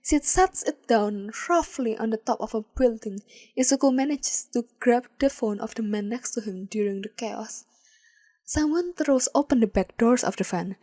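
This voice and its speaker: Woman, podfic reader, calm measured storytelling, fairly high voice. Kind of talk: reading fan fiction aloud.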